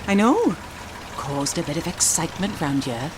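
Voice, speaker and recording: An animated village doctor. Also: male voice, fairly high pitch, moderate pace, noisy background. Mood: helpful. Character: helpful, plain-spoken village doctor. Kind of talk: audio drama acting.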